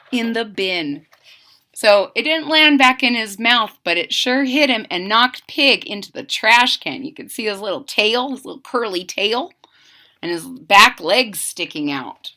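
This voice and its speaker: A woman reading a picture book aloud in a theatrical, drawn-out way, coaxing young listeners with questions and explanations. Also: medium pitch. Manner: animated, theatrical, drawn-out emphasis; coaxing, explaining asides to children. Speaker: children's story reader and channel host.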